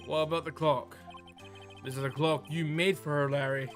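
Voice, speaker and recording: A man voicing an earnest, inwardly wry young male lawyer from a visual novel, a little halting. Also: on the high side, fairly even intonation, faint music behind, lightly acted. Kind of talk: visual novel read-aloud.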